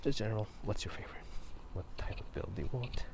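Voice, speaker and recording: A man whispering a casual, rambling ASMR chat in a halting, unhurried way while out walking through a town at night. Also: lowish voice, creaky, very noisy. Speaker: ASMR video host.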